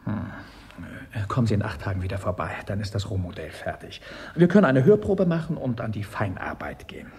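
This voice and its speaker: A businesslike robot technician in a radio drama. His mellow voice has a gravelly edge and swings freely in pitch as he pitches his product with assurance. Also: big pitch swings, a little fast. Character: businesslike male technician selling talking household robots. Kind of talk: German radio play acting.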